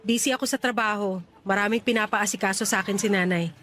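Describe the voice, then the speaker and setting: A woman's voice: mid-range voice, steady tone, quick pace, fairly bright tone, close to an everyday voice. An actress playing a curt, defensive young woman firmly rejecting a suitor in a radio drama, with an irritated manner. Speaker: voice actor in a radio-style love story. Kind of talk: Filipino radio drama acting.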